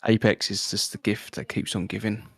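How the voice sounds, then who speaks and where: A man's voice: on the low side, level tone, moderate pace, creaky, breathy edge, no room sound. A man co-hosting a live gaming stream in casual conversation.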